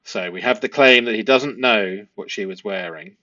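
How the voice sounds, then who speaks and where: A man giving analytical commentary as a host, reading from a transcript in halting, stop-start phrases and stressing key words. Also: lowish voice, creaky, bright, forceful tone, no echo. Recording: dull, muffled lo-fi audio.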